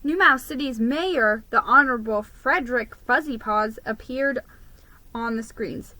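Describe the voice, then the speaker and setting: A woman narrates a children's story in a theatrical, deliberate style. Her voice is bright and sits a little high. She takes her time with each phrase, giving it dramatic weight. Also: dry recording, rough recording. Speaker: storybook reader.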